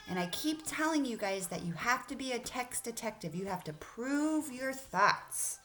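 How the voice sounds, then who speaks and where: A woman's voice: medium pitch, a bit bright. A woman teacher speaking to children in an encouraging, instructive way as she leads a read-aloud lesson. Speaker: elementary school teacher.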